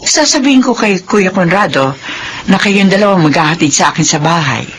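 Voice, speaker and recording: A woman's voice: on the low side, expressive, fairly quick, slight background noise, lightly acted. An actress playing an ailing, loving middle-aged mother in a Filipino radio drama. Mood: grateful, calm.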